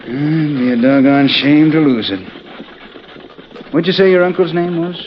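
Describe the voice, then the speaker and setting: An actor playing a folksy, insinuating frontier stagecoach traveler with a rustic Western drawl, in old-time radio western style. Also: male voice, a little high, a little slow, close to an everyday voice. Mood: folksy, probing. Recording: muffled, hissy old radio broadcast.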